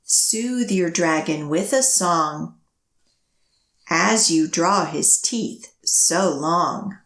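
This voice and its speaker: A woman leading a children's storytime, reading picture books and action rhymes in an expressive, measured, sing-song way. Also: fairly low pitch, roomy sound.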